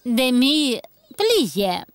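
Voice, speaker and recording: Motherly gazelle teacher, high voice, slow delivery, children's cartoon, music behind. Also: very animated, exaggerated voice. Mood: encouraging. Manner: slow, clearly addressing small children. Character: cheerful motherly female gazelle schoolteacher. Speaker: voice actor.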